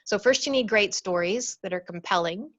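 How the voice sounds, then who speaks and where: A woman presents a storytelling webinar over a video call, at a middle pitch. She is friendly and direct, stumbling now and then, and the audio sounds a little muffled. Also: moderate pace, fairly bright tone. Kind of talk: casual online webinar talk.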